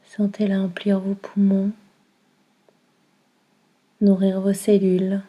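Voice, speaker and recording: A woman guiding a yoga nidra meditation in a calm, soothing manner, with a soft, breathy delivery. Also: medium pitch, slow, lo-fi sound. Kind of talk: guided meditation.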